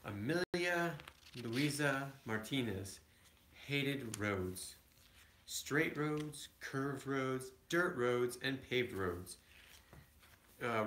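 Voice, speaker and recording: A man reading a children's picture book aloud as a father to his kids, a little halting, with casual asides. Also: medium pitch, fairly bright tone. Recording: echoey room, home recording.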